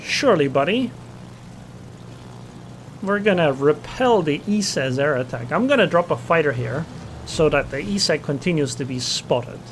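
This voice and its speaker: Gamer man, slightly high, thinks aloud over loud game sounds. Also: expressive, moderate pace, a little hiss or hum. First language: Estonian. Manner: thinks aloud, muttering plans and small reactions. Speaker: gameplay commentator. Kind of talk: casual live gameplay commentary. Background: game engine and gunfire sounds.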